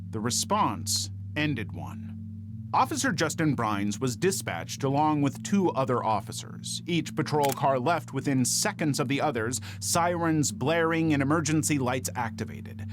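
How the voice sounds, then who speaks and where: Male true-crime narrator, fairly high voice with very animated intonation, faint noise behind.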